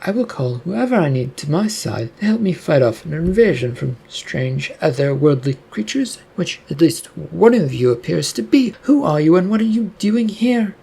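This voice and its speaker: Man acting an imperious, raspy woman boss. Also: a little high, sing-song, moderate pace, hardly any pauses, mellow, exaggerated voice. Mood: stern, threatening. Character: imperious female office boss, challenging intruders.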